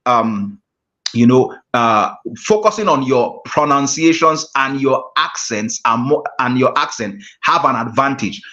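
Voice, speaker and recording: A man with a Nigerian accent teaching a public-speaking class, measured and repeating key phrases for emphasis. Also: medium pitch, a bit bright. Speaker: guest speaking coach. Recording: muffled lo-fi audio.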